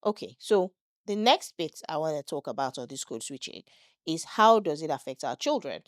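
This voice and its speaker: A woman's voice: deep voice, very animated, moderate pace, no echo, clean studio sound. A middle-aged woman with a Nigerian accent, co-hosting a casual podcast and steering the talk to new topics. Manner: conversational, steers topics, checks in with "do you get what I mean". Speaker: podcast co-host. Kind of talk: casual chat.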